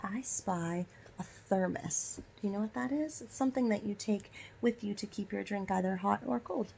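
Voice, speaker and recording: A woman's voice: mid-range voice, normal speed, gravelly, darkish tone, slight background noise. A woman teacher reading a children's story aloud and prompting listeners with instructive questions.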